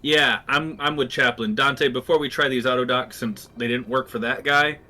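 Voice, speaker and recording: A man's voice: mid-range voice, steady tone, moderate pace, pushed and bright. A man playing a tabletop roleplaying game, talking in plain casual chat. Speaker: player.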